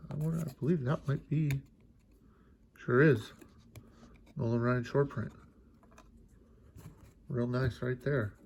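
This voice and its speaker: A man's voice: mid-range voice, takes it slowly, gravelly, long pauses, darkish tone, slight background noise. A congested, stuffy-sounding man opening card packs and chatting casually, musing aloud with lots of fillers. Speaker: card collecting channel host. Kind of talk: casual pack-opening commentary.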